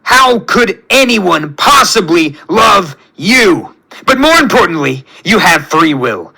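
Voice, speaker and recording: A man as a pompous, sneering self-made god villain, high-pitched. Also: big pitch swings, measured pace, bright, forceful tone, exaggerated voice, low-quality recording. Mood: scornful rage. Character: arrogant megalomaniac male self-made god villain, cruel and contemptuous.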